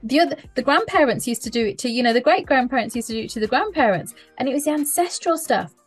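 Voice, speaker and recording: A woman with a fairly high voice talks as a mindset coach in an easygoing podcast conversation. She is earnest and emphatic, and she circles back to key phrases for stress. Faint music plays softly underneath. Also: lively intonation, quick pace, husky.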